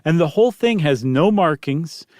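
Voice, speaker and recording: A man's voice: fairly high pitch, lively intonation, moderate pace, a little mellow, no room sound, studio quality. A man co-hosting a podcast, explaining things in a casual, chatty and descriptive way. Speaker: podcast co-host.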